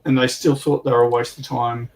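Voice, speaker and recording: A man's voice: medium pitch, level tone, brisk. A man chatting informally and anecdotally as a panelist on a livestream, picked up by a distant microphone. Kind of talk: casual chat about military history and tabletop wargaming.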